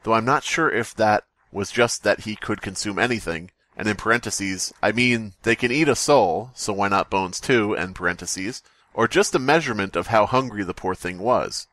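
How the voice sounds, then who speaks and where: A man's voice: on the low side, normal speed, a bit bright, no room sound. A man co-hosting a podcast, reading aloud and riffing casually with irreverent, jokey interjections. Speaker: podcast co-host. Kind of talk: casual group read-aloud and joking commentary.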